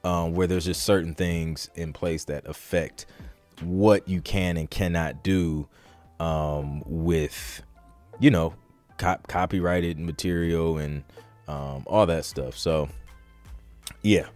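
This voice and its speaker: A man's voice: low voice, fairly even intonation, takes it slowly, a little mellow, soft background music. A man hosting a podcast, talking in an informal, slangy, conversational way as in a live Q&A. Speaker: podcast host. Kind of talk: casual live Q&A chat.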